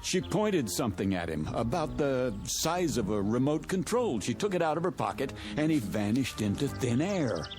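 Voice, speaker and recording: Male actor as wry stranded motorist, animated pitch, exasperated, radio drama, music underneath. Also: normal speed, few pauses, lightly acted. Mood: alarmed, indignant. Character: exasperated male motorist, a wry traveller lost with his dog.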